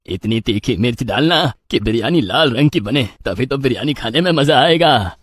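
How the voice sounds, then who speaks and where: A man's voice: medium pitch, lively intonation, normal speed, slightly rough, no echo. Man playing a brusque, dismissive husband, dry sound. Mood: demanding. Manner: brusque, bossy. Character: dismissive, demanding husband who loves spicy food.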